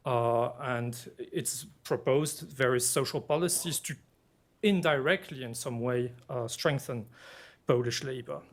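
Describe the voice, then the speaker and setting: Man with a French-tinged Belgian accent. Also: medium pitch. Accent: French-influenced, Belgian. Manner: measured and deliberate, with frequent filler pauses. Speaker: political scientist on a panel. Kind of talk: academic panel presentation.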